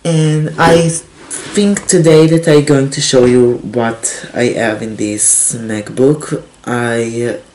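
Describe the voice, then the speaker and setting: A teenage boy from Israel, a native Hebrew speaker, talking in halting English with a Hebrew accent and many fillers while showing his laptop. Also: medium pitch, steady tone, darkish tone. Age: teens. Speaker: tech vlogger. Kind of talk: casual unboxing and review vlog. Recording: roomy echo, faint hiss.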